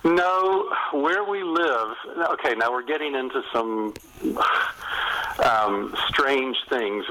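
An older American man from North Carolina telling stories as an interviewed novelist, in an animated, conversational way with emphatic repetition. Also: medium pitch, takes it slowly, poor audio. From North Carolina, United States. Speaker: novelist being interviewed as guest.

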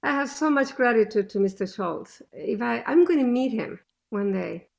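A woman with a thick non-native accent, a mother telling animated stories as an interview guest. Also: on the high side, moderate pace, gravelly. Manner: animated, emphatic storytelling. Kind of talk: conversational interview.

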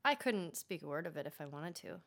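A woman's voice: low voice, animated, a little fast, fairly bright tone. A woman co-hosting a podcast in casual chat, playful and joking dryly. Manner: playful, dry jokes. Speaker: podcast co-host.

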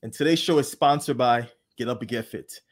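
A man's voice: mid-range voice, brisk. A man hosting a podcast, introducing a guest and asking questions in an upbeat, enthusiastic way. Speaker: podcast host.

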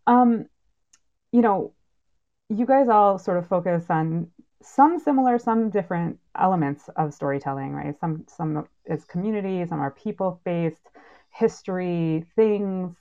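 A woman's voice: unhurried, warm tone. A woman casually moderating a podcast panel over an online call, with informal, hesitant phrasing. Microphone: online call microphone. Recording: muffled low-fidelity online call audio.

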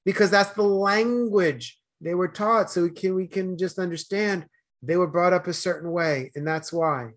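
Emphatic Boston man, fairly high voice. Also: normal speed. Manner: earnest, emphatic, gets loud when animated. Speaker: guest. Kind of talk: casual interview chat.

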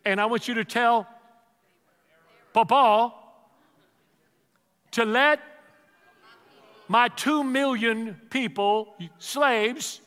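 A preacher giving voice to God speaking from a burning bush, solemn and commanding, his high, bright voice ringing out. The long tail of a big hall's reverb follows each phrase. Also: exaggerated voice.

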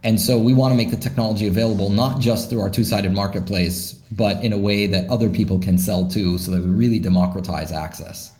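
A man answers interview questions in a slightly low voice, thoughtful and loose, full of "you know" and restarts. His voice carries a roomy echo, as if recorded in an open room. He sounds like a founder talking through his ideas. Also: fairly even intonation, a little fast, hardly any pauses, mellow. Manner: thoughtful, with many fillers and restarts. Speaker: startup founder, interview guest.

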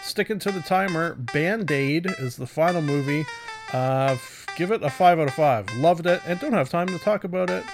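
A man hosting a podcast solo, giving casual reviews in a halting way, often interrupting and correcting himself. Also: on the high side, music in the background. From Canada. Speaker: podcast host.

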